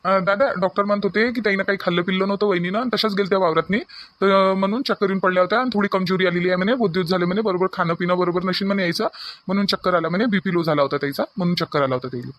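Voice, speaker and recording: A high-pitched, quick-talking young cartoon son played by a man with a Varhadi Marathi accent. He is earnest and anxious. His words hurry along and circle back on themselves. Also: a bit bright. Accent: Varhadi Marathi of Vidarbha. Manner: earnest, hurried, repetitive. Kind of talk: family cartoon dialogue.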